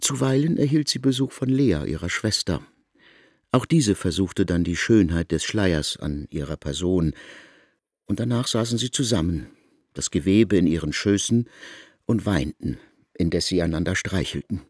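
Brief: male narrator, very deep voice, stately and solemn reading, dry close mic, faint music. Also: expressive, normal speed, darkish tone, husky, no room sound. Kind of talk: scripted literary narration.

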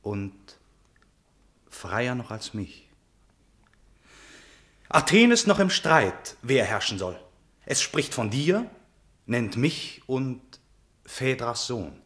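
An actor playing a noble, earnest young prince in a classical verse radio drama, with formal, stately declamation. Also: male voice, mid-range voice, big pitch swings, takes it slowly, pauses often, a bit bright. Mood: resolute.

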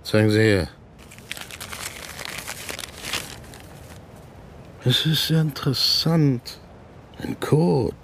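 Radio drama: stern East German captain, slow, gravelly delivery, sardonic and commanding. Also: male voice, mid-range voice, animated, a bit bright, slight background noise. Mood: suspicious, commanding. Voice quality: gravelly, husky. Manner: clipped, commanding, sarcastic.

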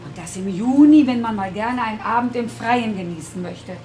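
A woman's voice: fairly high pitch, moderate pace, warm tone, faint music behind, lightly acted. An actress playing a chatty housekeeper who cares for a patient, fussing over her in a motherly tone in a radio drama. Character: chatty older female housekeeper and carer, fussing.

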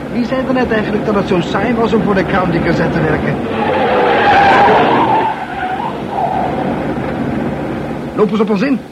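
Male actor as wry young reporter, highish voice, excitable, theatrical, impulsive, old radio drama, noisy vintage recording. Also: a little fast, lightly acted. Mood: excited, urgent.

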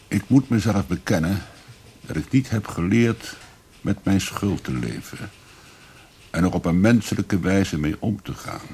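An actor playing a grave, probing police investigator in a radio drama, speaking in a measured, deliberate way. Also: male voice, on the low side, a little mellow, slight background noise, close to an everyday voice. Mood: solemn, deliberate.

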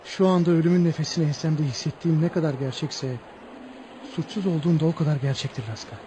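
An actor playing a humble, earnest young suitor in a radio play, with theatrical delivery. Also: male voice, a little high, fairly even intonation, fast, mellow, lightly acted. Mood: resigned, solemn. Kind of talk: Turkish radio theatre acting. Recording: noisy old radio drama recording.

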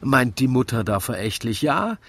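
A man tells a rhymed story as the narrator of a radio play. His voice sits at a middle pitch. Clear ambient noise runs behind it. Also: very animated, moderate pace.

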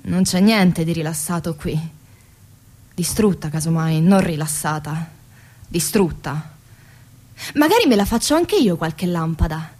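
Wry, worn-out factory worker. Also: female voice, on the low side, expressive, measured pace, long pauses, darkish tone. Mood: bitter, ironic. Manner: ironic, emphatic repetitions. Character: exhausted, bitterly ironic woman factory worker. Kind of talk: radio theatre monologue.

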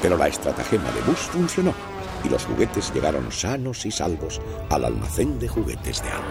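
A man narrating a children's storybook with expressive storytelling emphasis. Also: very deep voice, normal speed, vocal fry. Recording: music playing under the voice.

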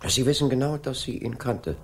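An actor playing a world-weary, dogged middle-aged police inspector in a German radio crime drama, questioning tersely and drily. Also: male voice, medium pitch, fairly quick, gravelly, faint music behind, close to an everyday voice. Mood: pressing, insistent. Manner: dry, terse, insistent questioning. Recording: 1980s studio radio play.

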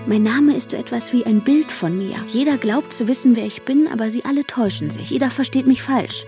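A woman's voice: a little high, brisk, warm tone, lightly acted. An actress playing an intense, lovestruck woman, telling her own story intimately and broodingly in a radio drama, at times dropping to a whisper. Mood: confiding. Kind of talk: German radio drama first-person narration. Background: music.

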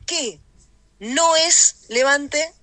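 A woman's voice: high-pitched, very slow, bright, forceful tone, no room sound. A middle-aged Argentine woman talking casually in a voice message. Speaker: listener sending a voice message. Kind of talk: casual chat.

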